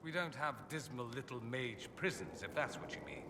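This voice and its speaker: Video game mage, male, played by an actor: suave, haughty, sardonic, highly animated intonation, recorded distant in a reverberant hall. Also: normal speed, natural voice. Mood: sardonic, amused. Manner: suave, sardonic, theatrically arch. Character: witty, haughty adult male mage from a fantasy empire. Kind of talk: video game character dialogue. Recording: echoey hall, clear background ambience noise.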